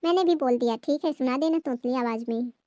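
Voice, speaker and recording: A woman's voice: sits high, quick pace, warm tone, dry recording, lightly acted. A woman playing an anxious, scolding Indian mother-in-law in a family comedy skit. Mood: defensive. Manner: fretful, scolding, gossipy.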